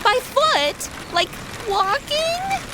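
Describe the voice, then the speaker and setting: A woman's voice: very high voice, big pitch swings, extremely slow, exaggerated voice. A woman playing a brash, sarcastic, whiny modern American sidekick in a comedy audio drama, full of slang. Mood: whiny, mocking. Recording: noisy, rain-like hiss behind.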